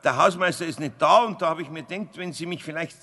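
A man's voice: fairly high pitch, fast, pushed and bright. An actor playing a kindly older craftsman with a Bavarian accent, hesitant and evasive, in a children's radio play. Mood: nervous, evasive. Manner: hesitant, stammering. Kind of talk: 1970s German children's radio play acting.

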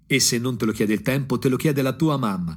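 An actor playing a disillusioned provincial ex-punk looking back with bitter irony, in a husky, brooding radio drama monologue with dramatic pauses. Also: male voice, mid-range voice, natural voice. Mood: bitter, weary. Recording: music playing under the voice. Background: guitar music.

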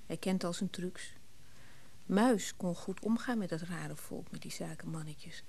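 An actress playing a distraught, guilt-ridden woman in grief in a radio drama, halting and repeating words in a breathy, often whispering voice. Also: lowish voice, darkish tone, heavily acted. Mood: bitter, dazed.

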